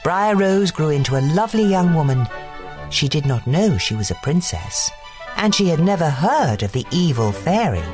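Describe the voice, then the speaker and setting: A woman narrating a scripted bedtime story in an expressive, deliberate way. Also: deep voice, over music.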